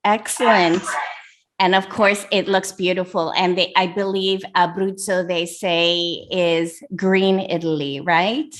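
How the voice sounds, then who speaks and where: Woman interviewer with warm affirmations. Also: on the low side, steady tone, takes it slowly. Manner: encouraging, short affirmations. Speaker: magazine interviewer. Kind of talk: casual interview chat.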